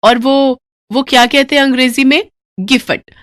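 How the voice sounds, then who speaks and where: Woman acting a sharp-tongued, high-pitched defensive wife. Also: steady tone, normal speed, no room sound, clean studio sound, lightly acted. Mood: boastful, evasive. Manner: sarcastic, scolding, dramatic retorts. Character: sharp-tongued, defensive working-class wife, brazenly evasive. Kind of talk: Hindi cartoon story dialogue.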